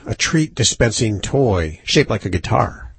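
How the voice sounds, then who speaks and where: A man's voice: on the low side, very animated, a little slow, vocal fry, husky, no room sound. A man hosting a radio show about pets, chatting in a dry, joking way. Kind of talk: casual radio banter.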